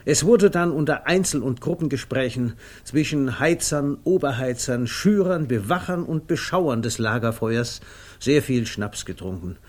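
A man's voice: mid-range voice, animated, a little slow. Male narrator, middle register, scripted literary storytelling for a 1950s radio comedy.

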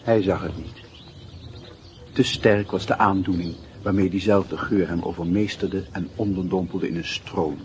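An actor as a reflective narrator, reading literary narration for a Dutch radio play in a husky voice. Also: male voice, low-pitched, fairly even intonation, unhurried, a little mellow, slight background noise.

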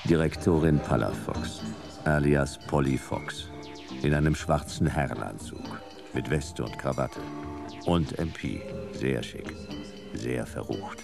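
A hard-boiled male detective with an extremely low, gravelly voice. He narrates a noir radio play in dry, clipped, deadpan phrases, with music clearly behind him. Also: heavily acted. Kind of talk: noir first-person narration in a radio drama.

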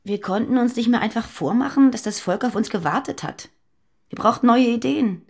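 A woman playing a fearful, guarded former militant on the run in a naturalistic radio drama, confiding and halting. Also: a little high. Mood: weary, confessing.

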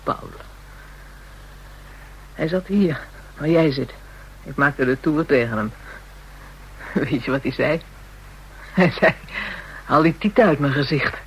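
A jaded, hard-living streetwalker in a radio drama. Her voice is on the low side for a woman, coarse and scornful in manner, with some noise in the recording. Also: takes it slowly, pauses often, rough recording. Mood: scornful, amused. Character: brash, jaded, street-hardened woman, a prostitute. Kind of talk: Dutch radio play acting.

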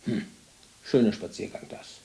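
A man in a radio drama playing a contemptuous archivist, husky, muttering to himself in clipped bursts. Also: moderate pace, warm tone, close to an everyday voice. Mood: muttering, grumbling.

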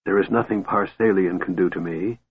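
A man voicing a frail, cold male mage in a storybook, with a soft, shattered whisper and calm, deliberate menace. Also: deep voice, slightly rough, narrow, tinny audio. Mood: scornful.

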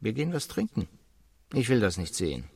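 A man's voice: fairly low pitch, huge pitch swings, brisk, creaky, a little mellow, breathy edge, exaggerated voice. A man in a radio drama playing a matter-of-fact colleague who explains things bluntly and firmly. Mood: blunt, consoling.

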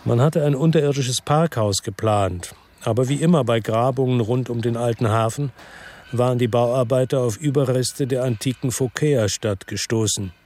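A man's voice: mid-range voice, a little mellow, close to an everyday voice. An actor playing a brooding investigator who narrates his story in a radio drama. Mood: somber. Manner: slow, somber narration. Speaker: first-person narrator.

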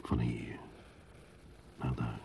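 Radio drama: somber poetic thinker, deliberate bottomless bass, faint noise behind. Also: male voice, level tone, gravelly, a little mellow, full character voice. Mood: somber, brooding. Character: somber man voicing feverish, restless thoughts.

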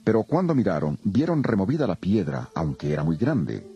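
Very deep male scripture narrator over music. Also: big pitch swings, brisk, warm tone, highs cut off. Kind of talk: solemn dramatized scripture narration.

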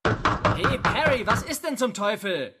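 A man's voice: sits high, big pitch swings, takes it slowly, sharp tone, full character voice. An actor playing an impatient, scheming, coarse male crook in a radio drama, brusque in manner. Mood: impatient shouting. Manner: brusque, swearing.

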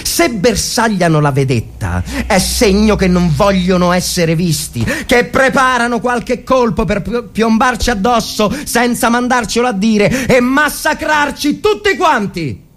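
A man's voice: sits high, expressive, a little slow, a bit bright, pitched above the performer's own voice. A man playing a stern, sardonic army lieutenant in a wartime trench drama, commanding his soldiers with sarcastic rhetorical questions.

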